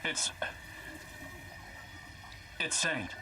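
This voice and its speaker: A man's voice: extremely slow, pushed and bright, a little hiss or hum, exaggerated voice. A man playing a grave, commanding mentor in a sci-fi video game, speaking in terse, worried, solemn phrases. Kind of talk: scripted video game drama.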